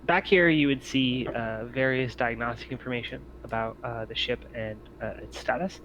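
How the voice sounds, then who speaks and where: A man improvising narration as the game master of a tabletop roleplaying game, speaking haltingly with many ums and pauses. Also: medium pitch, steady tone, a bit bright, a little hiss or hum, rough recording. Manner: halting, hesitant delivery full of long ums and pauses.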